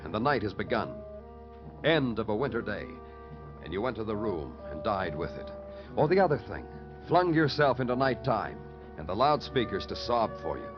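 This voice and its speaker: Casting: hardened American homicide cop, low voice, brooding poetic narration, vintage radio drama sound with music behind. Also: male voice. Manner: terse, clipped fragments, poetic and world-weary. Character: hardened, brooding male New York homicide detective, narrating his cases. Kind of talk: 1950s radio noir acting and poetic narration. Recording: muffled old radio recording with music.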